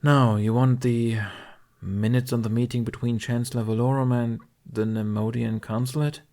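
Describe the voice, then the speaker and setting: A man's voice: mid-range voice, little pitch movement, normal speed, warm tone, pitched above the performer's own voice, natural voice. A man voicing a tall, genial male mentor with a polite, conversational and mildly exasperated manner in an audiobook. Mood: curious, businesslike.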